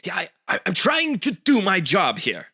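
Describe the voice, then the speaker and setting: An actor playing a put-upon, deflated announcer in an old-time radio comedy. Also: male voice, high voice, huge pitch swings, normal speed, gravelly, sharp tone, slightly rough, no echo, clean studio sound, heavily acted. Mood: dejected.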